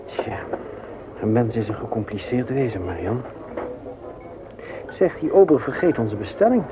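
A calm, understated widower, sparing with words and considerate. His medium-pitched voice comes through a muffled old radio drama recording with clear background noise. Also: a little slow. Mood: reserved. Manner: laconic, attentive, understated. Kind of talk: naturalistic radio drama acting.

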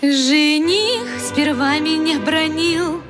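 A coy young bride from old vaudeville radio comedy. Her very high voice takes its time with playful delight, with music clearly behind it. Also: very slow. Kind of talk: theatrical radio comedy acting.

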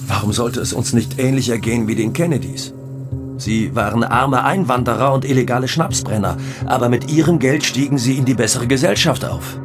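An actor playing an ambitious, boastful cocaine boss in a German radio drama, speaking with confident, declarative phrasing. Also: male voice, medium pitch, brisk, music in the background, close to an everyday voice.

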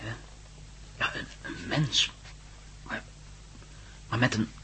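An actor playing an excitable, curious layman in a radio drama, hesitant and repeating his words. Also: lowish voice, slow, exaggerated voice, rough recording. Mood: amazed. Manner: hesitant, stammering repetitions. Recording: faint background noise and hum.

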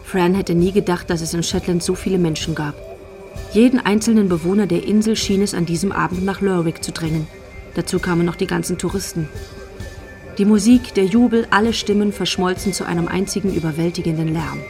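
A female narrator of a crime radio play, voiced by an actress. She tells the story in a scripted style with a fairly low voice. Music is clearly audible behind her. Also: fairly quick, a little mellow, lightly acted. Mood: measured.

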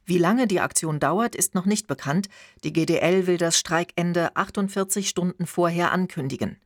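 A woman with a standard German accent anchors a radio news bulletin in a fairly low voice. Her reading is formal and factual. The sound is dry and close, with no room to it. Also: no echo.

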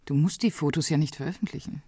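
An actress playing an affectionate, gently worried middle-aged wife in a radio drama, speaking intimately and tenderly. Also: female voice, low voice, fast talker, slightly rough, no room sound, clean studio sound.

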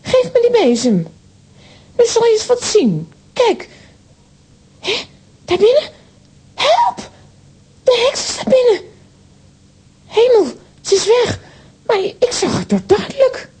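A very high, soft-toned little girl's voice, earnest and excitable. Her pitch jumps about in lively children's radio play style. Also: slow, pauses often, heavily acted. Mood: alarmed. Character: earnest, excitable little girl, sweet and clever.

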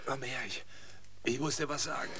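A man acting a low-voiced, derisive old cynic you would hear confessing his life's swindle across a kitchen table in a late-night radio play. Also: background noise, lightly acted. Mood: dying, strained. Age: older. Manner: derisive laughing, reflective, halting. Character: bitter, mocking old man, weary self-confessed fraud. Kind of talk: German radio drama acting.

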